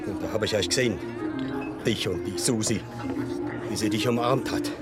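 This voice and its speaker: An actor playing a jealous, awkward village man in a radio drama, halting and sheepish, with an Austrian accent. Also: on the low side, close to an everyday voice. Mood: sheepish, confessing. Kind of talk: naturalistic radio drama dialogue. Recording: loud music behind.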